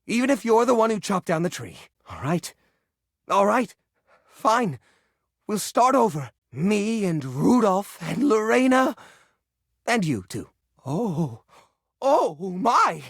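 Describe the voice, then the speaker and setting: An actor voicing a fussy, anxious junk drawer come to life in a video game, theatrical and flustered, with old-fashioned folksy exclamations. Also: male voice, sits high, very animated, slow pace, creaky, long pauses, dry recording, studio quality, heavily acted. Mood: grudging, softening. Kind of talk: video game character voice acting.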